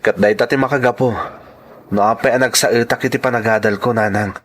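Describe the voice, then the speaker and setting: A man's voice: medium pitch, fairly even intonation, brisk, lightly acted. Modest young man in an Ilocano drama played by a man. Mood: earnest. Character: modest young male architect, a devoted youngest son. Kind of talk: Ilocano radio-style drama acting.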